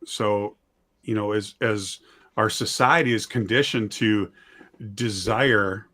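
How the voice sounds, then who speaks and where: A man talking in a casual discussion, conversational and halting, telling things as anecdotes. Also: lowish voice, a bit bright.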